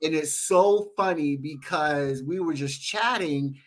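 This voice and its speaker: Congested, nasal male co-host with a slightly high voice; animated, jokey storyteller. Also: slow. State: congested with a head cold. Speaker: podcast co-host. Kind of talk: casual podcast chat.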